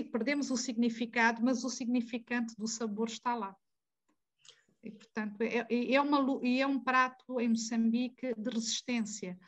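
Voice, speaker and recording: A woman with a Mozambican Portuguese accent, speaking as a guest in an academic debate, digressing and correcting herself as she goes. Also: on the high side. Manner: digressive, self-correcting, asks rhetorical questions. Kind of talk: conversational academic talk.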